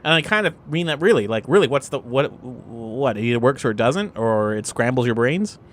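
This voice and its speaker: A man's voice: mid-range voice, expressive, a little fast, slight background noise. A man playing a tabletop role-playing game, chatting informally and jokingly as the players plan together. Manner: informal, jokey. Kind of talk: casual improvised tabletop game chat.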